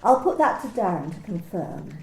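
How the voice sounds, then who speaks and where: A woman playing a coolly clinical office manager in an amateur radio play, asking personal questions in a calm, matter-of-fact, bureaucratic way. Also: medium pitch, moderate pace, reverberant, close to an everyday voice, rough recording. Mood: businesslike.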